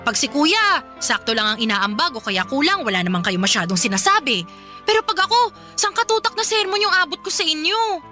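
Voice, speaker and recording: A woman's voice: high voice, very animated, brisk, sharp tone, soft background music, full character voice. A woman playing a weary, respectful but aggrieved working daughter in a Tagalog radio drama, politely pleading and protesting.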